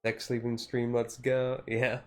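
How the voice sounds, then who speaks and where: A man chatting casually on a chill livestream, rambling and halting as he goes. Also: medium pitch, completely flat delivery. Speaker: streamer.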